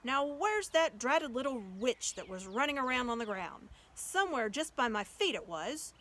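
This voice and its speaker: A woman voicing a good-natured, slow-witted giant who grumbles in homely old-fashioned words, for a storybook. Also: high-pitched, sing-song, sharp tone, full character voice. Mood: groggy, puzzled.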